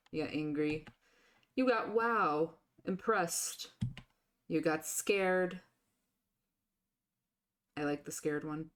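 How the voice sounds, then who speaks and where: A woman streamer chatting casually and rambling with filler restarts, her voice deepened by a sore throat. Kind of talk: casual live-stream chat.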